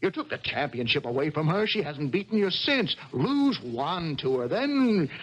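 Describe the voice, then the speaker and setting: A man's voice: fairly high pitch, sing-song, moderate pace. An actor in a 1970s radio drama playing a desperate embezzler who stammers and pleads insistently with his lover. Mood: coaxing, manipulative. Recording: dull vintage radio broadcast.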